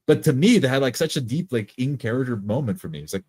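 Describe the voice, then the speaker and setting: A man in casual discussion as a game player, repeating words haltingly as he forms his points. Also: medium pitch, lively intonation, darkish tone, breathy edge. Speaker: tabletop role-playing player.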